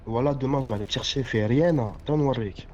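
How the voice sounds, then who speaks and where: A man's voice: medium pitch, fast, very noisy. A young man with a Parisian accent chatting and teasing over a phone call, full of slang. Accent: Parisian French. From France. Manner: teasing, playful, lots of slang. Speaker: chat participant. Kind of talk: casual joking chat over a call. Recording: dull lo-fi call audio.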